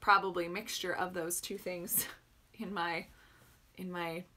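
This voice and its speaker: Young Albertan woman, bright voice, loose chatty livestream host answering questions at home. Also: fairly low pitch, little pitch movement, slow, slight background noise. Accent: Albertan Canadian. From northern Alberta, Canada. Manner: chatty, rambling, answers viewer questions, laughs often. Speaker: maker hosting a livestream. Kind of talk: casual livestream chat.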